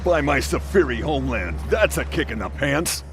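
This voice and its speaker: A man's voice: medium pitch, very animated, normal speed, music in the background, lightly acted. An actor voicing a boastful, hot-headed male warrior in a video game, with a blustering, hearty manner. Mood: indignant bluster. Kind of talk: game cutscene acting.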